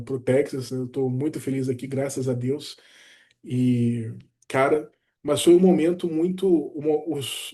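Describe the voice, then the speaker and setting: A man, telling his own story in an easygoing, animated way, often dropping "cara" and "né". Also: on the high side. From Brazil. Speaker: doctor sharing his career story. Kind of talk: casual personal vlog talk.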